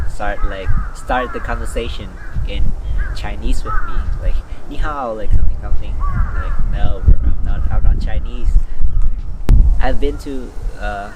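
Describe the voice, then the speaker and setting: A young man casually telling interview stories in a loose, slangy way. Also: lowish voice. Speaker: student interviewee. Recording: distant mic with clear outdoor noise.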